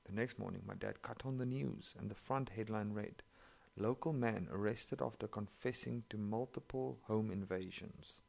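A man's voice: on the low side, moderate pace, creaky, few pauses, darkish tone. A man, not an English speaker, reading online stories aloud and breaking in with sarcastic, profane commentary. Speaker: story reader.

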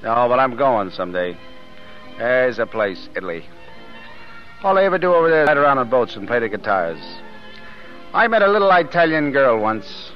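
An actor playing a gruff, cocky working-class American tough guy in a blunt, slangy 1930s film style. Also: mid-range voice, very animated, normal speed, pauses often, natural voice. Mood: cocky, guarded. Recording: dull old film soundtrack, music behind.

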